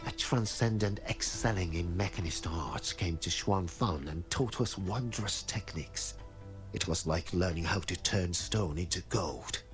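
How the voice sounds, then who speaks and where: An actor playing a polite, deferential male mechanist in a fantasy game, speaking in a formal manner. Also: on the low side. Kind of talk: scripted game voice acting. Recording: music under the voice.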